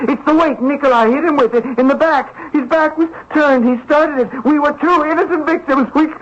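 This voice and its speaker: An actor playing a panicky, guilt-ridden young Russian drifter in a radio drama, stammering and pleading frantically. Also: male voice, very high-pitched. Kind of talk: old-time radio drama acting. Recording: muffled old radio broadcast recording.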